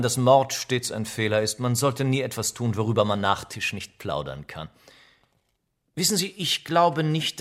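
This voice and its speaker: An actor in a German radio drama playing a worldly, cynical, middle-aged aristocrat with an urbane, ironic, theatrical delivery. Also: male voice, lowish voice, fairly bright tone. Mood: amused, detached. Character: worldly, cynical aristocratic older friend, witty and languid.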